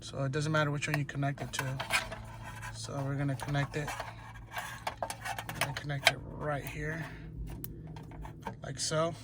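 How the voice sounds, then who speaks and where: A man with a slightly high voice talks through a hands-on repair job as a DIY presenter. He goes step by step, haltingly, repeating himself as he instructs. The amateur recording has clear background noise and clicking. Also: level tone. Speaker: DIY car tutorial presenter. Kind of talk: hands-on how-to narration, unscripted.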